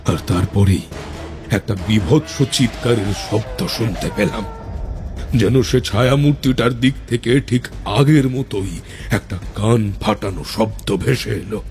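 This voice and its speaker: A man playing a tantric mystic who recounts eerie encounters in an audio horror drama, measured and suspenseful. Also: fairly low pitch, music in the background. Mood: eerie, shaken. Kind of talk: first-person horror storytelling in audio drama.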